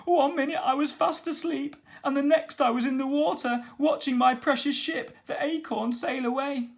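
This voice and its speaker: Storybook pirate captain, old and blustering, high squeaky sobbing tone, strained and odd-sounding. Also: male voice, fairly even intonation, moderate pace, creaky.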